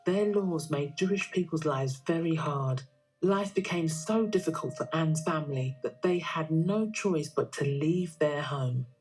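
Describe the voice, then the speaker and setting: A woman's voice: low-pitched, a little slow, darkish tone, low-quality recording. A woman delivering scripted educational narration as a narrator. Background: music.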